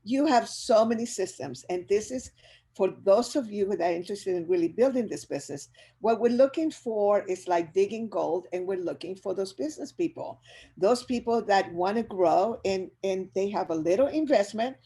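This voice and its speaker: An older woman with a Chilean Spanish accent, for whom English is a second language. She talks to her audience over a video call in an upbeat, motivational way, with a mellow, warm tone. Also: mid-range voice, normal speed. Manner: energetic, upbeat, addresses audience directly. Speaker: business speaker. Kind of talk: motivational webinar talk.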